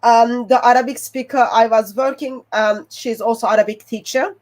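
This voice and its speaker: A woman's voice: fairly high pitch, steady tone, moderate pace, darkish tone. A woman from Turkey with a Turkish accent, a native Turkish speaker, explaining her research method step by step. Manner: plain, step-by-step with fillers. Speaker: guest researcher.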